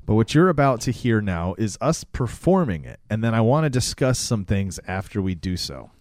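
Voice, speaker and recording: Low-voiced man hosting a casual podcast. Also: expressive, moderate pace, a little mellow, no room sound. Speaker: podcast host.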